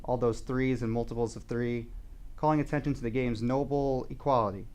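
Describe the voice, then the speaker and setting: A man's voice: medium pitch, steady tone, fairly quick, a little mellow, lightly acted. A man performing a dramatic monologue as an earnest, philosophical sports devotee, reflective and rhetorical.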